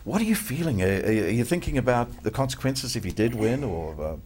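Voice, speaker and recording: Woman interviewer, extremely low, breathy voice, lively intonation, slow halting delivery. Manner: slow, halting questions with fillers. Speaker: show host, interviewer.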